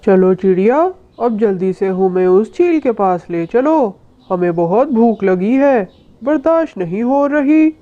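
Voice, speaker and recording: A woman's voice: medium pitch, animated, unhurried, warm tone, natural voice. A woman as a hungry bullying kite, phone-like sound. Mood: hungry, gloating. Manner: taunting, domineering, theatrical. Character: hungry bullying predatory kite bird, boastful, gullible. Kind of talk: Hindi children's cartoon villain acting. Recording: muffled narrow phone-like sound.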